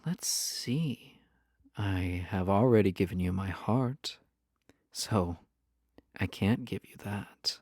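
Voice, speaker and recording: A man acts out a playful, possessive yandere husband in an intimate roleplay. His voice is mellow and relaxed, with plenty of gravelly fry, and he speaks at an unhurried pace. He teases suggestively, dropping into whispered asides, in a very dry recording. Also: medium pitch, dry recording. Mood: playful, teasing. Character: flirty, playful, obsessive yandere spouse. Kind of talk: intimate audio roleplay addressed to listener.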